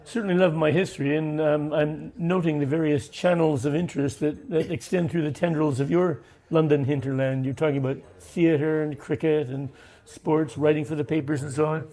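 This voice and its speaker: A man's voice: a little high, fairly even intonation, moderate pace, hardly any pauses, dark tone. A man co-hosting a podcast who speaks in a wordy, thoughtful way. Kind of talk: casual chat.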